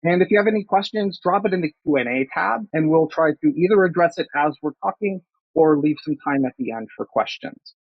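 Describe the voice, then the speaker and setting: A man's voice: fairly high pitch, lively intonation, a little fast, breathy edge, no echo. A man hosting a webinar, talking loosely and often restarting his phrases. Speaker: webinar host. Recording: dry, dull lo-fi sound.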